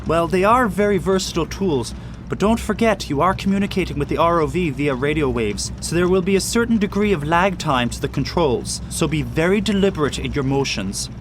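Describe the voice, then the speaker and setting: An actor playing a cautious, fussy first mate and diving technician in an audio drama, speaking formally and precisely. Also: male voice, a little high, expressive, fairly quick, close to an everyday voice. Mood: earnest briefing. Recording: clear outdoor boat and engine noise.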